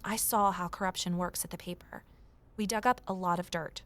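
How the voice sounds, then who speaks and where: A woman's voice: lowish voice, fairly even intonation, a little fast, fairly bright tone, lightly acted. An actress playing a determined, inquisitive investigator who tells her story in an earnest, confiding way. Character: Evelyn, determined, inquisitive young woman investigator and narrator. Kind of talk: first-person scripted drama narration and dialogue.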